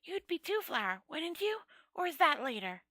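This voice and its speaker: A woman acts out a long-dead old wizard from a fantasy storybook. The voice is high and close, with a dry sound. He rambles proudly, as if death were an ordinary matter. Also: big pitch swings, moderate pace, sharp tone, no room sound, exaggerated voice. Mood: matter-of-fact. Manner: rambling, matter-of-fact, conversational.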